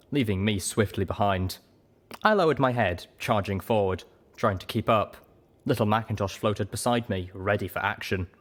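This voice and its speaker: A man reading scripted first-person fiction as a storyteller. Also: lowish voice. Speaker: story reader.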